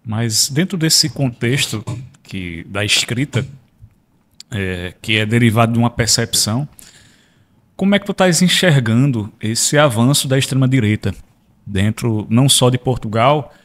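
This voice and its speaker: Male podcast host, measured questioner, low-middle pitch. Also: lively intonation. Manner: brief backchannels, measured questions.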